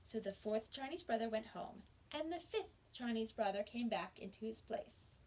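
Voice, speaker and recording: Husky teacher reading to small children. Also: female voice, on the high side, normal speed. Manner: expressive, explains words for children. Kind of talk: storybook read-aloud for young children.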